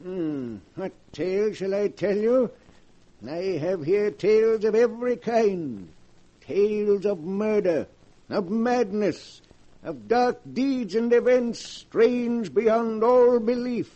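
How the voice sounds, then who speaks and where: An actor playing a mysterious male keeper of a book of dark tales, a slow, sinister, relishing storyteller in 1940s radio acting. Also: high-pitched. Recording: dull lo-fi 1940s radio recording.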